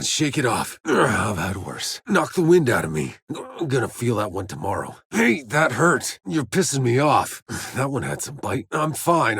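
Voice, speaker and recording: A man's voice: mid-range voice, gravelly, a bit bright, slightly rough, dry recording, full character voice. A man playing a cynical, tough soldier in a sci-fi video game, with a gritty voice and dry wisecracks. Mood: hurt, irritated. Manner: sardonic, swearing, dry wisecracks. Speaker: video game player character voice. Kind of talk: scripted video game voice lines and combat barks.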